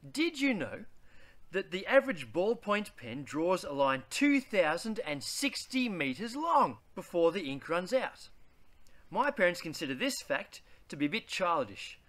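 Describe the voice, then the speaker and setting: A man, reading a children's picture book aloud in a deliberate, theatrical way. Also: sits high, big pitch swings, fairly bright tone. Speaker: read-aloud host.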